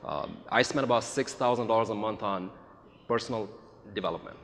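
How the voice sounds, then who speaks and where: A man in his forties from India, giving earnest, somewhat halting answers in an on-stage interview. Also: on the low side, a bit bright. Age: 40s. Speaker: real estate agent, guest speaker. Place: large event hall with audience. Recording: echoey hall with faint noise.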